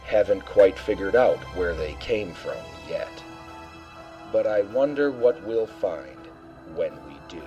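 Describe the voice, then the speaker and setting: A man's voice: fairly low pitch, animated, slow pace, warm tone, highs cut off, faint music behind. An actor playing a frustrated male starship commander speaking bluntly.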